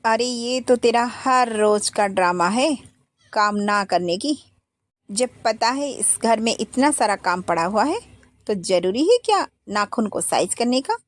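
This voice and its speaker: A woman's voice: a little high, animated, a little slow, no room sound. A woman voicing a bossy elder sister-in-law who nags and scolds. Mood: scolding. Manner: scolding, nagging.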